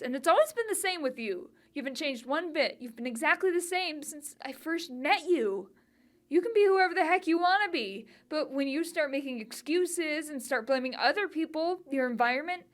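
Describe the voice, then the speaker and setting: Woman acting an exasperated, blunt friend, higher-pitched. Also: a bit bright. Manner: blunt, reproachful. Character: exasperated, tough-loving adult woman confronting old friend. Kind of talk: naturalistic dramatic monologue. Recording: music bed behind voice.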